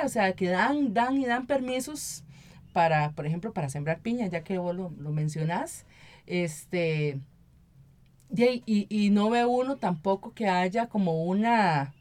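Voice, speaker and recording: A woman's voice: mid-range voice, a little mellow. Costa Rican woman explaining in an interview. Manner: explanatory, often tags statements with "¿verdad?". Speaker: interview guest, local council member and activist. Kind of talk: conversational radio interview.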